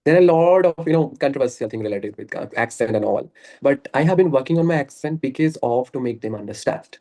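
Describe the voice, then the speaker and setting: A man's voice: mid-range voice, lively intonation, quick pace, mellow. Earnest man, rambling on a call. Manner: earnest, rambling, laughs often. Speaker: guest. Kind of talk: casual conversation practice.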